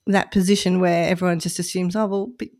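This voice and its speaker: A woman's voice: lowish voice, steady tone, fast talker, darkish tone. A woman co-hosting a podcast, chatting casually and reflectively about her volunteer role. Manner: chatty, reflective, self-deprecating. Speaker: podcast co-host, run director.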